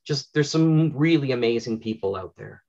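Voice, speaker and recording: A man in his fifties with a Canadian accent chats casually and enthusiastically, telling anecdotes with halting, repeated words over a video call. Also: medium pitch, expressive, creaky, darkish tone. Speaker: interview guest, audio drama producer. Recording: slightly dull, lo-fi video call.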